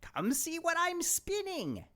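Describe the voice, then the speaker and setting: Man putting on a high, crooning old woman. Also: huge pitch swings, slow, sharp tone, exaggerated voice. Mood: coaxing. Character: sly old woman at a spinning wheel. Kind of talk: children's storybook read-aloud.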